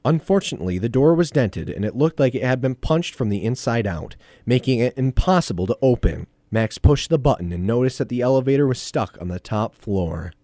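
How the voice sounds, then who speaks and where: A man's voice: medium pitch, expressive, brisk, few pauses, mellow, dry recording. A man narrating an audio drama in a scripted storytelling style.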